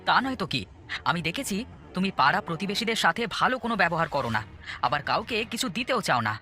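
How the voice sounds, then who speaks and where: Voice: mid-range voice, big pitch swings, fast talker, sharp tone, soft background music. An actor voicing an exasperated village husband in a children's cartoon, pleading and reproachful. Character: exasperated village husband, scolding his wife. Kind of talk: Bengali children's cartoon voice acting.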